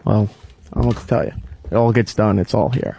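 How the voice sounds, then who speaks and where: A man's voice: on the low side, fast, creaky, warm tone, a little hiss or hum. A man in a heated workplace argument, defensive and exasperated, swearing as he makes his case. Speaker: radio show staffer.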